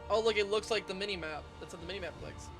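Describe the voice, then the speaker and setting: A man's voice: high voice, racing. A man streaming a video game, giving casual reaction commentary. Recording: game music behind voice. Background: video game music.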